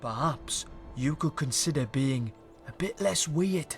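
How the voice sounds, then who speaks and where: A man's voice: fairly high pitch, lively intonation, measured pace, soft background music. An actor playing a wry, easygoing male warrior companion in a fantasy video game, teasing and dry.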